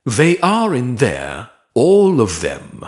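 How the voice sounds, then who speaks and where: A man narrating a scripted romance story in a deliberate, dramatic way with short measured phrases. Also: mid-range voice, huge pitch swings, darkish tone.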